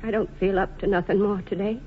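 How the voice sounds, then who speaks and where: An actress playing a plain-spoken, long-suffering working-class wife with folksy, earnest speech in a 1940s radio drama. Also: female voice, medium pitch, fairly even intonation, brisk, close to an everyday voice. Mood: weary, resigned. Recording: dull, noisy vintage radio recording.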